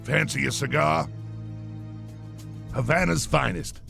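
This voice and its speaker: A gravelly old army colonel. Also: male voice, mid-range voice, slow pace, pushed and bright, faint music behind, exaggerated voice. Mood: gruff. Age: older. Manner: gruff, boastful and commanding. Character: blustering old army colonel, cigar-loving and bossy.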